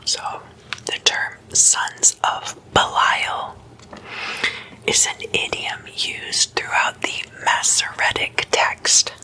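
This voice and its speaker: Slow whispering woman, ASMR style. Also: bright, forceful tone, poor audio. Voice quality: breathy, unvoiced whisper. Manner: slow, deliberate, with measured pauses. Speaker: ASMR host. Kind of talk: whispered ASMR, scripted explainer.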